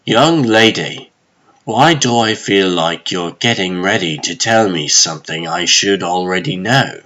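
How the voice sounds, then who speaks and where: A man's voice: low voice, lively intonation, measured pace, heavily acted, low-quality recording. A man playing a stern, weary sorcerer sheriff in a comic dub, speaking in a grave, formal manner. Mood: weary, wary. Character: stern, weary male sorcerer sheriff who lays down the law. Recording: loud dramatic music behind.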